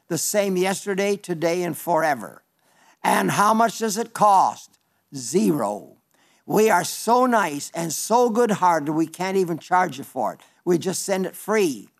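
Older man, radio preacher, slightly high voice, folksy sermon, chatty asides, small stumbles. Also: expressive, unhurried, gravelly. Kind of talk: folksy radio sermon reading listener letters.

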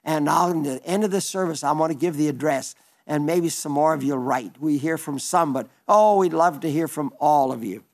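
A man's voice: a little high, moderate pace. An elderly man preaching a folksy radio sermon, reading listener letters with chatty asides and small stumbles. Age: older.

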